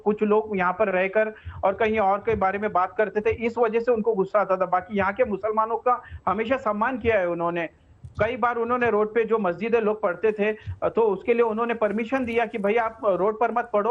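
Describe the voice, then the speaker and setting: A dull, lo-fi remote feed carries a high-pitched man. He is a political spokesman in a live TV debate, defensive and repetitive as he explains his position. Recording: dull, band-limited remote audio feed.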